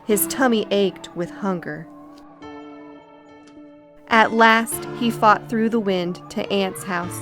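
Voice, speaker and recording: A woman storyteller narrating a children's picture book in an expressive, deliberate way. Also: mid-range voice, faint music behind.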